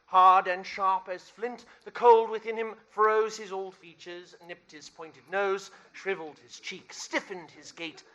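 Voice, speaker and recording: A man plays a theatrical radio-play storyteller. His voice is high and forceful, with a bright edge, delivering scripted narration emphatically. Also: steady tone, measured pace, few pauses, highs cut off. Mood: dramatic, emphatic.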